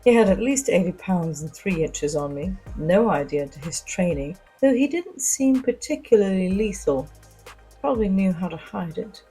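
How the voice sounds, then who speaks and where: A woman reading scripted first-person fiction as a narrator, in a deliberate, dramatic storytelling manner. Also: lowish voice, dark tone, breathy edge, low-quality recording.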